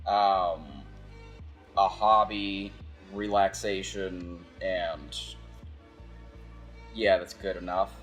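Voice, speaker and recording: A man giving casual, rambling philosophical commentary, halting and repeating phrases as he thinks aloud. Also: fairly low pitch, a bit bright. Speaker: video essayist.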